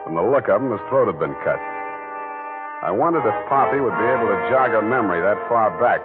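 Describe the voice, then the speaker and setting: An actor playing a hard-boiled private detective who narrates dryly and wisecracks in clipped lines, in a 1940s radio drama. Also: male voice, lowish voice, expressive, fast talker, lightly acted. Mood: wry. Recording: tinny old radio broadcast with music.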